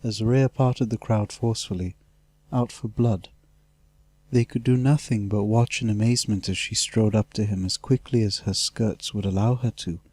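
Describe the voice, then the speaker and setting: A man's voice: fairly low pitch, fairly even intonation, normal speed, a little mellow, no room sound. A man reading scripted fantasy narration as an audiobook narrator.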